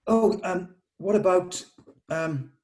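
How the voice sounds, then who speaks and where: A man talking informally and deliberately as he leads a creative discussion of a script, explaining and suggesting lines of dialogue. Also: high voice, darkish tone. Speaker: script discussion leader at a table read.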